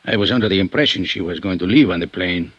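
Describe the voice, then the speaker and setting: A man's voice: sits low, fast, a bit bright, no echo, muffled recording. An actor playing a proud, vengeful Latin American man, in formal, haughty 1950s radio drama style. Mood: guarded.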